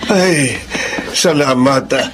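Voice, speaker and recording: A man's voice: mid-range voice, animated, slow, background noise. An actor playing a kindly, grateful elderly father in a Filipino radio drama. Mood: joyful, tender.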